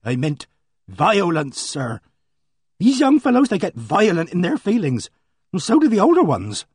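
A man's voice: high voice, wildly swooping pitch, unhurried, a little mellow, husky, no room sound, studio quality, pitched above the performer's own voice, heavily acted. A man voicing an earnest, indignant middle-aged village charwoman who rambles breathlessly. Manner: breathless, rambling country speech.

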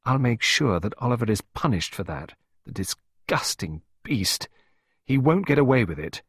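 A man's voice: mid-range voice, sing-song, moderate pace, slightly rough, dry recording, studio quality, voice pushed up. A man voicing a protective married woman in an audiobook, with a firm, commanding manner that can turn soothing. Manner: firm, sharp orders softening to reassurance.

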